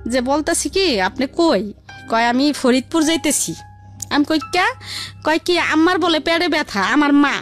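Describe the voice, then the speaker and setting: A woman's voice: high-pitched, lively intonation, unhurried, fairly bright tone. A woman with a colloquial Dhaka-region Bengali accent telling her life story in a rambling, repetitive way as an interview guest. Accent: colloquial Bangladeshi Bengali, Dhaka region. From Bangladesh. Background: faint background music.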